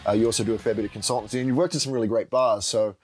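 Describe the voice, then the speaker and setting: A man hosting an interview, asking questions in a brisk, friendly way. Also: fairly low pitch, fairly even intonation, darkish tone, faint music behind. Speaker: podcast host. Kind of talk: casual interview chat.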